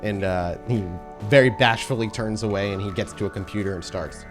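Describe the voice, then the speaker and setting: A man's voice: on the low side, brisk. Man narrating a tabletop game, music behind. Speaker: game master.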